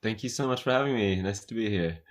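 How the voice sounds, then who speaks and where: A man from California, with an American accent tinged with Australian, chatting as a guest singer-songwriter in a relaxed, hesitant way full of fillers. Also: fairly low pitch, quick pace. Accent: American, slightly Australian. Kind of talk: casual interview chat. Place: his home recording studio.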